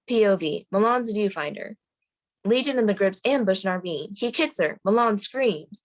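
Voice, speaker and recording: Female table-read narrator, medium pitch, delivering scene headings and action lines from a script. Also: animated, normal speed. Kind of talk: reading screenplay stage directions aloud.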